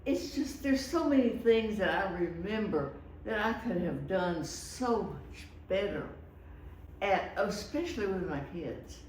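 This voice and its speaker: Slow, halting woman in her eighties. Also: mid-range voice, animated, darkish tone, a little hiss or hum. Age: 80s. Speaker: interviewee. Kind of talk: reflective casual talk. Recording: echoey room, distant mic.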